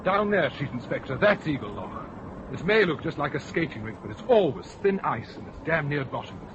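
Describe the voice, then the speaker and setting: An actor playing a steady, matter-of-fact male county police inspector. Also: a little high, brisk, lo-fi sound, noisy background. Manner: plain-spoken, matter-of-fact.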